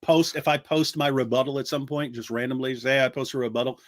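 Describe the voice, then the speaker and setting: A middle-aged man co-hosting a casual commentary chat, talking in an animated way with many restarts and the odd mocking impression. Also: medium pitch, fast. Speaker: co-host.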